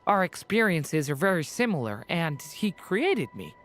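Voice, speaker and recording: An actor voicing a gentle, earnest young male artificial being in a video game, sincere and a little hesitant. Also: mid-range voice, sing-song, a little slow. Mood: tender, hopeful. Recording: game music behind.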